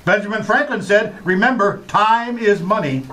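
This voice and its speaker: A man's voice: high voice, expressive, normal speed, sharp tone. A man playing a grandiose, dramatic announcer in the style of old-time radio. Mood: grand, dramatic.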